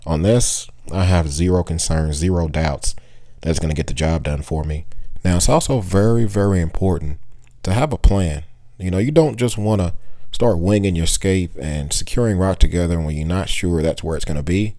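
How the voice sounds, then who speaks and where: Young adult male, casual tips voice-over, deep voice, dry close sound. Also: normal speed, dry recording. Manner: relaxed, chatty, gives tips with small laughs. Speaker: aquarium hobbyist vlogger.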